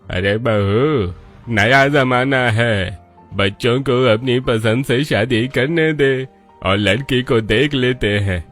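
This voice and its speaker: A man voicing a mild, reasoning middle-aged father in a family cartoon, with a placating, measured manner. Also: medium pitch, a bit bright, soft background music. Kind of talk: Hindi family cartoon dubbing.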